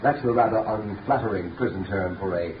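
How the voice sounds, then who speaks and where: An actor playing a brisk, businesslike male prison warden, in 1930s radio acting. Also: lowish voice, far from the mic, muffled recording, natural voice. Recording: scratchy 1930s radio recording.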